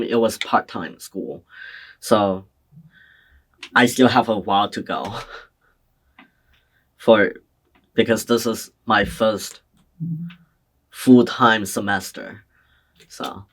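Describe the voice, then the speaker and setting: A young man from China answers interview questions about his life. His voice sits a little low, and his delivery is slow and soft-spoken, with frequent pauses and restarts. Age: young adult. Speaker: interviewee, community college student. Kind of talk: oral history interview answers.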